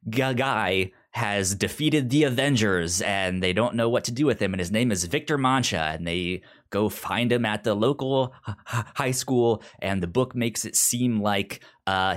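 A man's voice: fairly low pitch, a bit bright. A man co-hosting a podcast, explaining stories in casual conversation, often repeating words and syllables as he goes. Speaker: comics podcast co-host. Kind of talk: casual chat, retelling and explaining comic plots.

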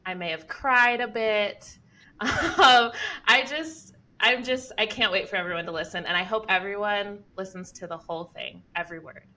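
A woman's voice: mid-range voice, normal speed, pushed and bright. A woman co-hosting a podcast, enthusiastic and gushing. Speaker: podcast co-host. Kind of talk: casual podcast chat.

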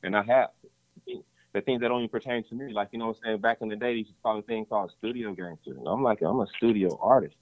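A man's voice: lowish voice, quick pace, dry recording. A man, a rapper, talking casually in an interview, rambling and often repeating "you know what I'm saying.". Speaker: rapper guest. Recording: dull lo-fi dry sound.

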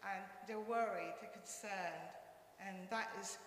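A woman's voice: mid-range voice, fairly even intonation, a little slow. A woman from Malaysia whose first language is Cantonese shares her story before a congregation, hesitant and earnest, full of little ums. Her voice carries a strong, long echo through the church hall, with faint noise behind it. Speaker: interpreter, church member. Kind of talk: casual testimony on stage.

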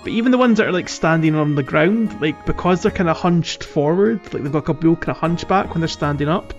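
A man's voice: a little high, a little fast. A man chatting casually as the co-host of a podcast. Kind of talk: casual chat. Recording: dull lo-fi audio, faint music.

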